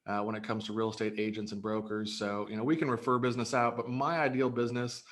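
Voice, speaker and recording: A man's voice: lowish voice, flat delivery, fast, a bit bright. A man in his thirties, born in Greece, answering interview questions about his real estate business in an upbeat, earnest way, with many fillers and restarts. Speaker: real estate broker, guest.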